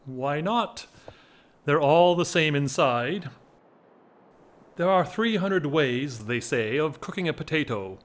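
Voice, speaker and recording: Man acting a slow-talking, whimsical gentleman. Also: a little high, animated. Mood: wry, bantering. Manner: theatrical, wordy, teasing mock-formality. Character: whimsical, restless English gentleman, an aristocrat in love. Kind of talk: stage-style audio drama acting.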